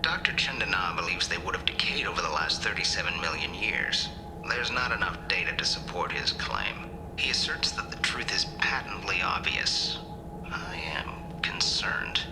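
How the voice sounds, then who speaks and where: Methodical worried researcher, game audio log, low voice, music behind. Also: male voice, expressive, normal speed, whispered, rough recording. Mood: concerned.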